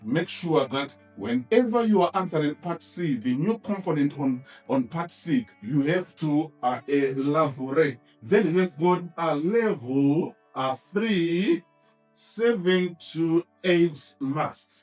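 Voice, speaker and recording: A man teaching an exam-technique lesson, explaining in a halting way and repeating key phrases for emphasis. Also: on the high side, lively intonation, darkish tone, far from the mic, soft background music. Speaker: history teacher.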